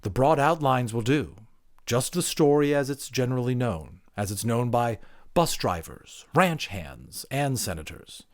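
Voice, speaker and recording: A man performs a great sage gorilla, a patient and probing teacher from an audiobook novel. He speaks deliberately and pointedly, with a pitch that swings widely as he presses his point. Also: animated, close to an everyday voice. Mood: calm, reassuring. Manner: measured, deliberate, pointedly Socratic. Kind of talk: dramatic audiobook character acting.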